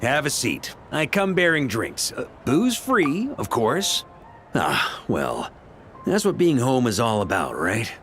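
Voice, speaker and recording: A man playing an easygoing, hearty knight commander who loves a drink, in a relaxed, conversational video game performance. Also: medium pitch, slow, soft background music, heavily acted. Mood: easygoing, nostalgic.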